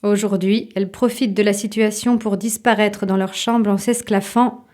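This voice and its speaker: Female narrator, dark mellow tone, literary second-person audio drama storytelling. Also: mid-range voice, fairly even intonation, normal speed.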